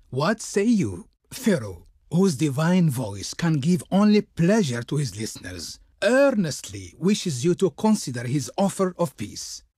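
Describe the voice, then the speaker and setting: Haughty ancient egyptian diplomat from a strategy game, super pompous n florid, voice swoops all over, close dry studio sound. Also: male voice, a little high, darkish tone, breathy edge, dry recording, full character voice. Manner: pompous, declamatory, ornate. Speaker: video game voice actor. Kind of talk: theatrical historical strategy game diplomacy lines.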